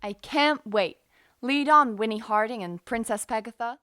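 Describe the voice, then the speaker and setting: Woman as a breezy American army nurse, highish voice. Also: normal speed, pushed and bright. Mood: breezy, playful. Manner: playful, slangy. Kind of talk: audio drama acting.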